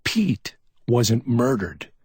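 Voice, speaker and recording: A man voicing a brusque, excitable male police officer who snaps out urgent reasoning. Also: mid-range voice, normal speed, slightly rough, no echo, clean studio sound, voice pushed up. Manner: snapping, urgent, scolding.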